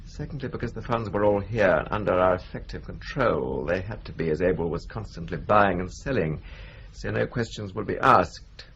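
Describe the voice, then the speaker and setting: An actor playing a precise senior male solicitor who speaks in a measured, formal way. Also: low voice, lively intonation, creaky, fairly bright tone, a little hiss or hum, heavily acted. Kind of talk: radio drama acting. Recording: dull lo-fi broadcast recording.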